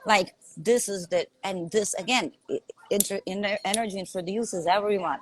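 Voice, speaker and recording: A woman's voice: medium pitch, moderate pace, a little hiss or hum, rough recording. A woman with a Lithuanian accent, a coach talking earnestly in a podcast conversation, often asking "right?". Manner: earnest, emphatic, repeats herself and asks "right?".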